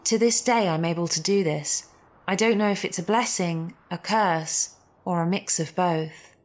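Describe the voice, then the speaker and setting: A woman's voice: fairly low pitch, a little slow. A woman reading a first-person story as a scripted narrator. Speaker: narrator reading listener stories.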